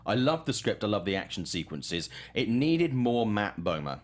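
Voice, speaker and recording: A man's voice: on the low side, sing-song, moderate pace. A middle-aged man hosting a film talk show, chatting casually with enthusiastic, emphatic opinions. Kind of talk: casual film review chat.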